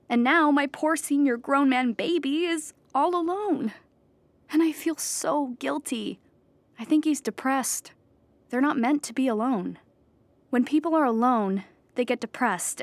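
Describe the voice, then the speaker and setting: A woman's voice: sits high, no room sound, natural voice. A woman playing an earnest, lonely, depressed clown pleading before judges in an audio drama, rambling and childlike as she justifies herself.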